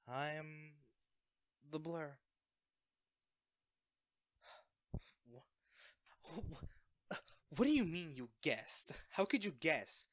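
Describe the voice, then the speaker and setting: Audio drama role: male actor as caring young secret hero, higher-pitched and bright, sheepish, hesitant delivery. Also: sing-song, extremely slow, long pauses, no echo, studio quality, full character voice. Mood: flustered, sheepish. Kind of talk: audio roleplay acting, conversational.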